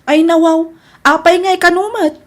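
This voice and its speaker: Artless young mother and sister-in-law, female actor, high voice, Ilocano accent, radio comedy drama. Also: steady tone, unhurried. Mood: casual.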